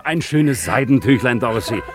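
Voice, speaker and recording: An actor playing a young working-class craftsman in love, excitable and hopeful, laughing through his lines in a 1950s German radio drama. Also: mid-range voice, moderate pace, lightly acted. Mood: defiant, playful. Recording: 1950s radio recording, music behind.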